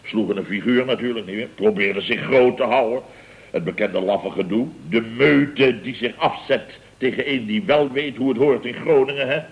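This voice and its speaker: A man acting a self-important Dutch building contractor in an old radio comedy. He blusters and boasts, repeats himself as he stammers along, and chuckles at his own mock indignation. His voice sits at a middling pitch. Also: moderate pace, highs cut off, natural voice. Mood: boastful, indignant.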